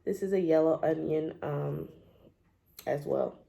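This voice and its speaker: A woman's voice: low-pitched, unhurried, vocal fry, warm tone. A woman with a stuffy, scratchy voice from a cold, chatting casually at her table at home, with frequent ums as she shows her things. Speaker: homestead gardening vlogger. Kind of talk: casual chatty show-and-tell.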